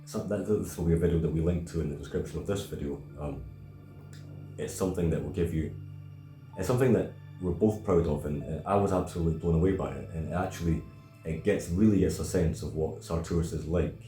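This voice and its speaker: Very deep-voiced, thoughtful man in echoey room. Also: dark tone. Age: around thirty. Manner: halting, thoughtful, repeats words. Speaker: video host. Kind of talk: reflective casual discussion. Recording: roomy echo, faint music.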